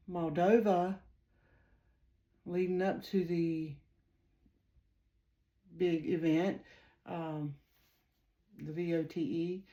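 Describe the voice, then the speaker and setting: Slow, mellow Southern woman. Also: lowish voice. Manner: halting, many ums, reads from notes. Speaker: psychic channeler. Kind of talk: casual spiritual reading monologue.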